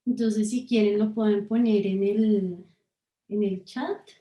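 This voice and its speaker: A woman's voice: mid-range voice, steady tone, takes it slowly, dark tone, echoey room. A woman researcher leading an online workshop, speaking hesitantly with many fillers over a video call. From Colombia.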